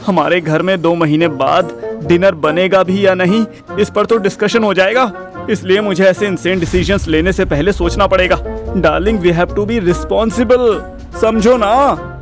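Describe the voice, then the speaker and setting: A man's voice: high voice, a little slow, music in the background. A man playing a frustrated, jokey, protective young boyfriend in an audio drama, talking in slangy Hinglish. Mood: anxious, earnest. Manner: slangy Hinglish, exasperated, wisecracking.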